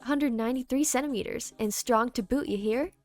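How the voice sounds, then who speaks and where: A woman's voice: fairly high pitch, fairly quick, a little mellow, faint music behind, natural voice. A woman voicing a big, proud, friendly male baseball player in a video game, with folksy, hearty talk.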